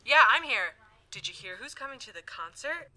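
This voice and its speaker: A woman's voice: on the high side, very animated, moderate pace, sharp tone, full character voice. A woman acting in a short demo clip as someone chatting casually on a phone call. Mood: chatty.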